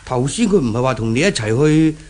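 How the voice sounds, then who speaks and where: A man's voice: mid-range voice, lively intonation, fast talker, darkish tone, close to an everyday voice. A man acting in a 1970s Cantonese radio drama as an anxious middle-aged shopkeeper father with a Hong Kong accent, stammering and repeating his words. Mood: worried. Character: anxious older shopkeeper father, flustered and kindly.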